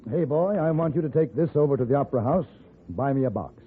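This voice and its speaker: A man's voice: mid-range voice, animated, fast talker, breathy edge. A man playing a suave, sardonic gunfighter for hire, cool and commanding, in a 1950s radio western. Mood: amused, brisk. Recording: narrow tinny old radio recording.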